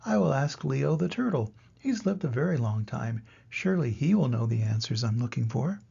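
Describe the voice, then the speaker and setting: An earnest, curious young boy from a storybook, read aloud by an older man. He speaks in a questioning way with a gravelly edge. The recording is a little muffled. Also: mid-range voice, very animated, normal speed, dark tone, heavily acted. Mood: hopeful.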